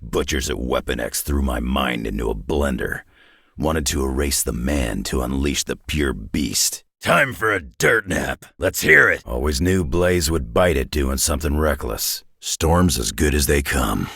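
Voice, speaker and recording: A man playing a gruff, world-weary, sardonic middle-aged superhero brawler in a video game, with a raspy growl and a blunt, wry drawl. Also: extremely low pitch, lively intonation, a little slow, fairly bright tone, no echo, studio quality, full character voice. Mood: tough, combative. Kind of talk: video game character voice lines.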